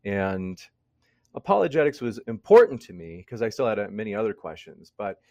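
A man's voice: on the low side, lively intonation, brisk, a little mellow, dry recording. A man in his mid-thirties speaking as an interview guest in a conversational, reflective way, thinking aloud. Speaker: interview guest, debate channel host. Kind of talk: conversational personal testimony.

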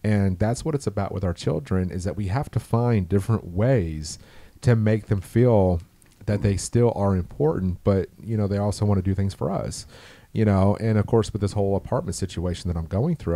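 A middle-aged man with a deep voice, talking earnestly and slowly about raising his daughter in a casual chat. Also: mellow, no echo. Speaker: guest, father.

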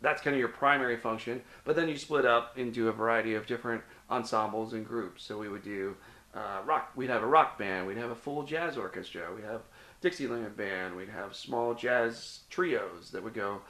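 A man's voice: medium pitch, normal speed, sharp tone. Middle-aged Midwestern man, interview guest, hesitant, ums and restarts, recorded in an echoey room. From Cincinnati, Ohio (US Midwest). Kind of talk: casual interview, telling his life story.

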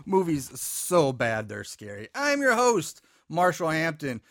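A man's voice: a little high, wildly swooping pitch, unhurried, a bit bright, no echo, studio quality. A man hosting a podcast in a casual, profane chatting style. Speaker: podcast host. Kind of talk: casual chat about bad horror movies.

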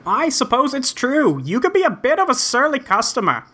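An earnest young gentleman adventurer, acted out in a comic script reading, polite and folksy. The wordy, old-fashioned lines come in a bright, forceful voice with very lively swings of pitch. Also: moderate pace, exaggerated voice. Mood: genial.

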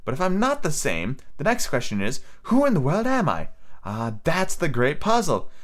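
Guy doing a fussy little girl voice, higher and bouncy, fretting to herself. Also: huge pitch swings, moderate pace. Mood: puzzled. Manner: prim and fretful, talking to herself.

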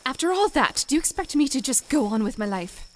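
A woman's voice: sits high, very animated, fairly quick, slight background noise. A woman playing a bold young barmaid in a fantasy audio drama, earnest, questioning and stubborn. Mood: determined. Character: bold, determined young barmaid setting out adventuring.

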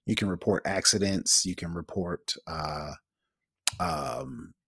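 A man's voice: sits low, animated, takes it slowly, gravelly, sharp tone, husky, dry recording, studio quality. A man co-hosting a tech podcast in casual chat, explaining things informally. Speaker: podcast co-host.

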